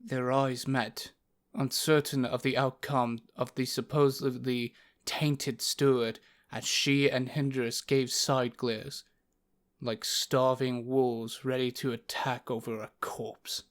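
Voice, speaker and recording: A man's voice: medium pitch, level tone, takes it slowly. A man reading scripted narration for a fantasy audio story. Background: music.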